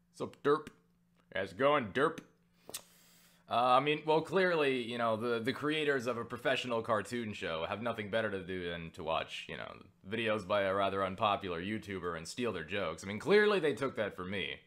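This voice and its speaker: Male YouTuber with a slightly low voice for casual Q&A. Rambling, jokey, profane and self-mocking. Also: fairly bright tone. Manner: self-deprecating. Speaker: YouTuber answering viewer questions. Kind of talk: casual Q&A vlog.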